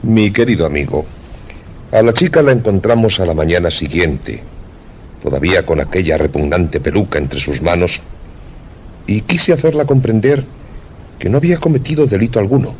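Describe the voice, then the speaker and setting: A man acting a somber male doctor in a radio play, with a fairly low voice. He explains grim matters formally and with measured care, over faint noise. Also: a little mellow, close to an everyday voice. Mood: grave, explanatory.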